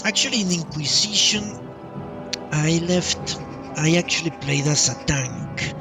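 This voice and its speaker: A man gives casual game commentary at a slow pace, often repeating words as he thinks aloud. His pitch is average, and he sounds a bit away from the mic with game music clearly playing. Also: lively intonation, recorded from a distance. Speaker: game streamer. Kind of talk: casual live playthrough commentary. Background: video game music.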